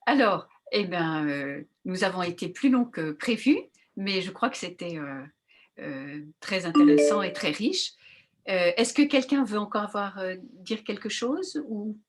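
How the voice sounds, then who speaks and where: A woman leads an online group discussion at a middle pitch. She searches for her words as she goes, full of little hesitations and fillers. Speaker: moderator.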